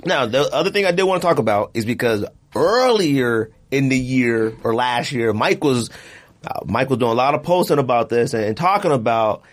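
A man's voice: medium pitch, expressive, moderate pace. A man hosting a podcast in a loose, colloquial, rambling way, full of conversational filler phrases. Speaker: podcast host. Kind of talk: casual podcast chat.

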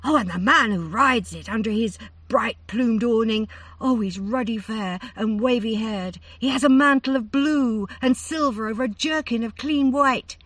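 A woman's voice: normal speed, dry recording, distant mic. A woman doing a breathless, gushing young princess. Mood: awestruck. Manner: stammering, gushing, high and excited.

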